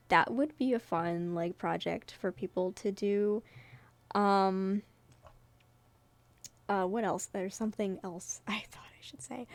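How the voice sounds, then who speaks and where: A woman's voice: mid-range voice, dry recording. A woman chatting casually about her own webcomic in a giggly, rambling way, as its creator. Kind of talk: casual commentary and chat.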